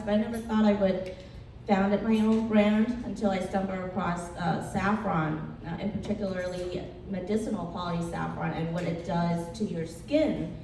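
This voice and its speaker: A woman tells a panel audience about herself. Her pitch is average. The room echoes strongly around her and she sounds set back from the mic, with faint noise. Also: a little mellow, distant mic. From Vietnam. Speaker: company founder, panel guest. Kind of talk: introducing herself on a live panel. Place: indoor department store event.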